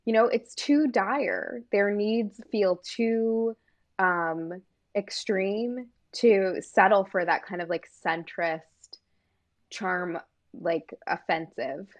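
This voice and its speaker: A woman's voice: medium pitch, slow pace. A woman from Maine co-hosting a casual political talk, chatty and full of fillers. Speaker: co-host.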